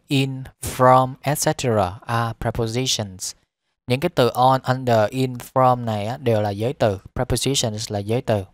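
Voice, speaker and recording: A man teaching English, repeating each phrase slowly and then explaining it quickly. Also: mid-range voice, no echo.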